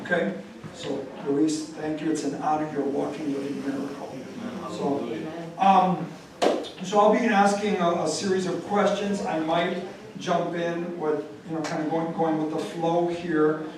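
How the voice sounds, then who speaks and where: A man's voice: fairly high pitch, flat delivery, unhurried. A man informally moderating a community panel at a public meeting, giving plain instructions and repeating his questions. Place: large echoey room at a public meeting. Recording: very echoey hall, distant mic, crowd noise.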